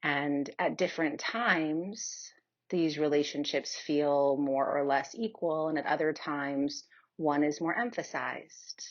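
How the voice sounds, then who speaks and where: A woman leading a guided yoga nidra meditation in a soothing, unhurried manner with long pauses. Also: deep voice, steady tone, lo-fi sound.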